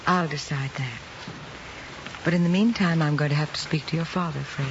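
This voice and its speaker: Composed lady teacher in an old drama, measured and tactful, dull sound, noisy. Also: deep voice. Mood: firm. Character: composed, probing female schoolteacher, concerned and fair.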